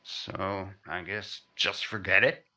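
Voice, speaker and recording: An actor playing a dogged, urgent newspaper reporter in an old-time radio crime drama. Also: male voice, deep voice, steady tone, slow, vocal fry, bright, forceful tone, full character voice. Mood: defensive.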